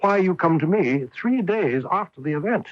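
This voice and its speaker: An actor playing a commanding, coolly logical English detective in a 1980s radio drama. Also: male voice, high voice, animated, moderate pace. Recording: dull vintage radio broadcast.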